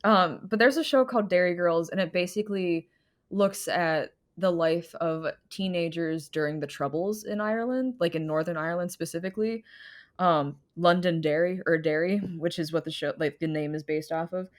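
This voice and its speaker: A woman's voice: lowish voice, moderate pace. A woman co-hosting a podcast, telling a rambling story in casual chat with frequent giggles and fillers. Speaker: podcast co-host.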